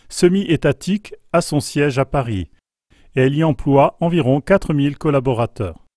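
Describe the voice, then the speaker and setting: A man reading factual news narration as a scripted voice-over, measured and plain. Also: medium pitch, darkish tone, no echo, studio quality. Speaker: news reader.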